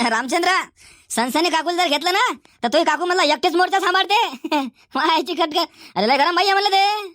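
A man's voice: extremely high pitch, brisk, pushed and bright, no echo, studio quality. A man voicing a feisty, henpecked old village uncle in a comedy cartoon, with a Varhadi Marathi accent and emphatic, repetitive phrasing. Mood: teasing, wry. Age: older.

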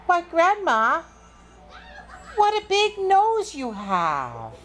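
A woman's voice: extremely high pitch, huge pitch swings, drawn-out words, a bit bright, faint music behind, pitched above the performer's own voice, heavily acted. A woman voicing a sweet, polite and plucky little storybook girl in a sing-song, wide-eyed way. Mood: wondering.

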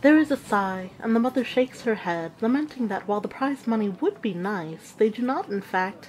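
A woman playing a witch who narrates an audio drama with measured, deliberate storytelling. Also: fairly high pitch, a little mellow, lightly acted, low-quality recording.

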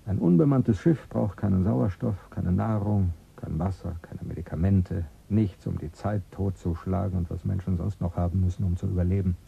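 Radio drama: probing official, deep and raspy. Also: male voice, moderate pace, few pauses, mellow, highs cut off, heavily acted. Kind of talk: 1970s German radio drama.

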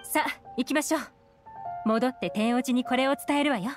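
A woman's voice: sits high, brisk, over music, natural voice. An actress playing a cool, shrewd young woman investigator in a Japanese game, composed, analytical and direct.

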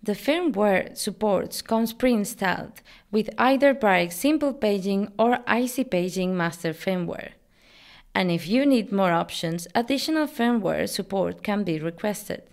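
A woman in her thirties speaks as a company presenter. Her voice sits at an average pitch for a woman. She delivers scripted product narration in an upbeat, promotional manner. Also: animated, a little slow. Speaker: company presenter, digital marketing manager.

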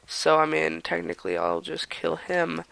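A young man talks over his gameplay in a loose, rambling way, jumping between excited outbursts and mumbled or whispered asides. His voice sits a little high, bright and edgy, with a gravelly fry left over from a cold. The sound is close and dry, with no room around it. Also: normal speed, no echo, rough recording. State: raspy from a cold. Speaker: gaming channel host. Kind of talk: casual gameplay commentary.

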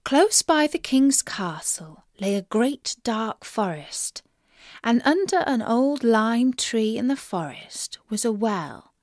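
A woman narrates a children's fairy tale at an unhurried pace. Her pitch moves up and down in a lively, expressive way, and the recording is dry and close. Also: dry recording. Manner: expressive, deliberate read-aloud. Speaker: storyteller.

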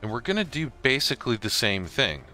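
A middle-aged man explaining software step by step in a casual, informal tutorial style. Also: medium pitch, very animated, fairly quick, faint music behind. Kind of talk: casual screen-recorded walkthrough.

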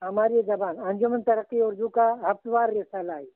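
An older man teaching Urdu literature, slowly and patiently dictating references to a student. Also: high voice, fairly even intonation, no echo. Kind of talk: guiding a student over the phone. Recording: tinny phone line.

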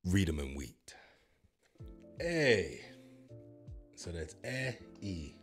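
A man's voice: low voice, big pitch swings, very slow, faint music behind. A man in his thirties with an American accent, joking and boasting as he reacts to a language-learning app, speaking into a handheld mic in a home studio. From United States. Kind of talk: playful reaction commentary. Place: home studio with purple lighting. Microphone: handheld microphone.

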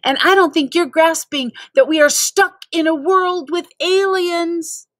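A woman's voice: very high-pitched, a little slow, dry recording, studio quality, pitched above the performer's own voice. A woman voicing a panicky, exasperated young kid in a storybook, indignant and complaining.

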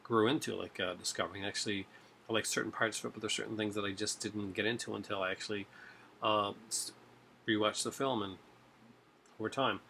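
A man's voice: on the low side, fairly even intonation, fairly quick, gravelly, bright, forceful tone, a little hiss or hum. A man in his forties chatting casually to a webcam at home about his disc collection, rambling with many fillers and restarts. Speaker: collector vlogger. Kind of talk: casual chat to camera. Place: home room with shelves of discs. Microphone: webcam at desk.

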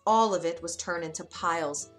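A woman narrating a children's bedtime story in an expressive, gentle way. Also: fairly low pitch, normal speed. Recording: soft music bed behind.

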